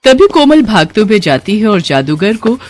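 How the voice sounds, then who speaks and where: A woman's voice: medium pitch, sing-song, moderate pace, a little hiss or hum. A woman storyteller reading a scripted moral tale for children as the narrator.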